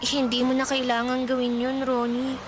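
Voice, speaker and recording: A woman's voice: sits high, monotone, moderate pace, noisy background, natural voice. A woman playing an earnest, practical young schoolgirl in a romantic radio drama, caught in her first puppy love. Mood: gently resigned.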